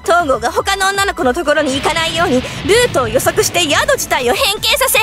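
A woman's voice: very high-pitched, expressive, fairly quick. An actress playing a brash, boastful young military woman in an anime audio drama, blunt and commanding. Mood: boastful, triumphant. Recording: music bed under the voice.

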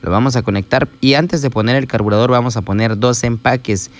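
A man narrates a hands-on repair lesson in a patient, explanatory way, speaking straight to his viewers. His voice sits at an ordinary middle pitch. He talks like a working mechanic showing each step. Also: a little fast. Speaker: small-engine mechanic. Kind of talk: step-by-step repair tutorial voice-over.

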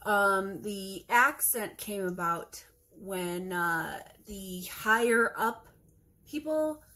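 A woman's voice: mid-range voice, animated, very slow, pushed and bright, rough recording. A woman in her thirties with a Wisconsin accent, chatting casually to camera from her kitchen, rambling and groping for words as she goes. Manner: rambling, searching for words, self-correcting. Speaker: vlogger. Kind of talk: casual chat. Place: home kitchen, indoors. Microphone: phone camera across the table.

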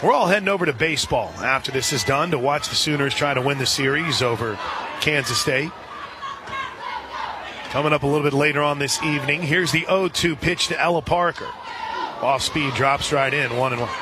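Higher-pitched man calling softball over crowd noise. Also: a little fast. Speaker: radio play-by-play announcer. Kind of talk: live softball play-by-play commentary. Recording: crowd noise and music behind. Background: ballpark crowd and music.

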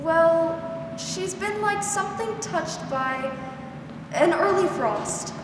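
Young woman playing high-voiced gentle older aunt. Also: animated, slow pace, heavily acted. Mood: hesitant, sorrowful. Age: young adult. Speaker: student actor. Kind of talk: 1940s radio drama acting. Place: school theatre stage. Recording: echoey hall, noisy background.

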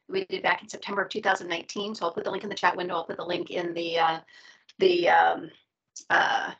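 A woman's voice: mid-range voice, pushed and bright, slightly rough, muffled recording. A middle-aged woman casually moderating an online group call and putting questions to a guest. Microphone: video call.

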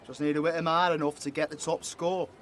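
A man's voice: a little high, flat delivery, fast, fairly bright tone, a little hiss or hum, natural voice. A man voicing a crooked carnival game stall keeper who talks in a folksy way, calling people boy and son, in an audio roleplay. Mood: sly, folksy.

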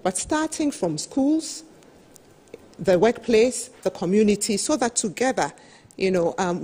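Woman doing a business talk, bit husky, mid pitch, explains stuff with emphasis. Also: a little mellow. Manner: explanatory, emphatic, frequent "you know". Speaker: panel speaker. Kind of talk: business presentation at a televised roundtable. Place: television studio, seated at a desk.

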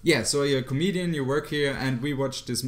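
A man's voice: mid-range voice, brisk, a little hiss or hum. A man hosting a podcast in English, talkative and joking, laughing often. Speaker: podcast host. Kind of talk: casual chat.

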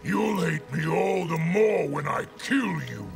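A gravelly warlord booms imperious threats in a fighting game. The brutal, arrogant general speaks slowly and forcefully, with faint music underneath. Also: male voice, expressive, heavily acted. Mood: menacing. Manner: menacing, imperious. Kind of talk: video game fight dialogue.

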